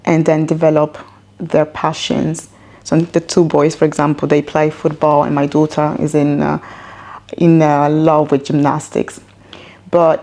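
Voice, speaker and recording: A woman's voice: deep voice, vocal fry, a little mellow. A woman around her thirties or forties, from Ghana and Italy, with a mixed, partly American accent, answering in a conversational interview. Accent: multicultural mixed accent with some American. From born in Ghana, grew up in Italy. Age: 30s to 40s. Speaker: interview guest. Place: her own home, indoors.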